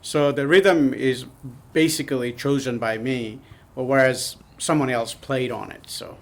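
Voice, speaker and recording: A man's voice: medium pitch, fairly even intonation, a little slow. Hesitant man. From Nepal. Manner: hesitant, many ums and uhs. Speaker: podcast guest. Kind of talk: casual interview.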